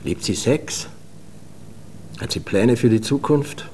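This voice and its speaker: An actor playing a calm, probing man in a naturalistic radio drama, in an intimate, strained talk. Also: medium pitch, takes it slowly, a little hiss or hum. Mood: probing, reproachful.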